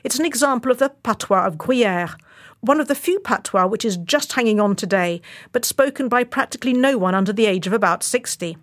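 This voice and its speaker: A woman reporter delivering a scripted radio feature with clear, explanatory narration. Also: on the high side, animated, fairly quick.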